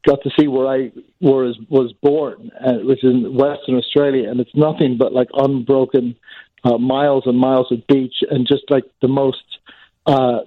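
A comedian guest who grew up in Northern Ireland and England, rambling in a self-deprecating way with plenty of fillers. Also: male voice, medium pitch, level tone, moderate pace, no room sound. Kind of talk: casual phone interview. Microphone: phone. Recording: tinny phone line.

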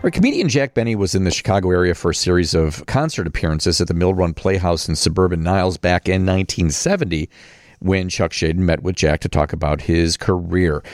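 A man's voice: deep voice, darkish tone, no room sound. A man speaking as a radio host, introducing guests and features in a studio. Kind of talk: warm-up talk and scripted introduction to an archive interview. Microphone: close studio microphone.

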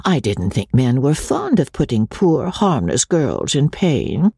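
A young woman of storybook innocence, acted by a woman reader, sugary and meek with a coquettish streak. Her voice has a breathy huskiness and a highly animated melody. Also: a little slow, dry recording, heavily acted. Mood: coy reproach. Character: sweet, coy, scheming young woman playing innocent.

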